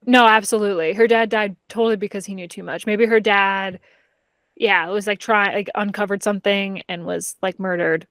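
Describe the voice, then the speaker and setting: A woman's voice: mid-range voice, steady tone, moderate pace, fairly bright tone, no echo. Casual woman, dry close sound. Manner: informal, candid, heavy use of "like". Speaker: podcast co-host. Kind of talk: casual book chat between friends.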